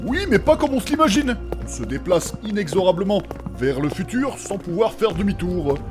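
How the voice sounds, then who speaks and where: Man as a high-voiced, chatty fantasy adventurer. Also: very animated, normal speed. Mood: musing. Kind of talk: comedic fantasy audio drama. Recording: music playing behind the voice. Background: music.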